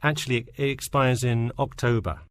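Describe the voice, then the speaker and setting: A man's voice: mid-range voice, steady tone, normal speed, a little hiss or hum, close to an everyday voice. A man playing an eager, agreeable lifeguard applicant answering questions in a scripted interview. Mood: confident, agreeable. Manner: agreeable, casual, cooperative. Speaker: job applicant. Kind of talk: scripted listening-test dialogue.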